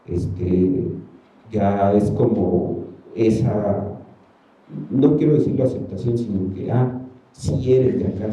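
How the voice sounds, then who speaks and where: Mexican man, Ayuuk native, panel artist, rambling chat, very dark voice, heard from across an echoing room. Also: fairly low pitch. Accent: Mexican Spanish. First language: Ayuuk (Mixe). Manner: rambling, colloquial, many fillers. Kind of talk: casual panel talk. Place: museum talk hall. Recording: roomy, distant, dull sound.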